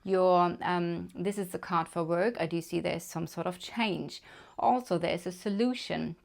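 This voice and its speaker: A woman's voice: on the low side, creaky. A woman with a German accent, reading tarot cards to camera in a chatty way, thinking aloud with many fillers. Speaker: tarot reader. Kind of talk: casual card reading to camera.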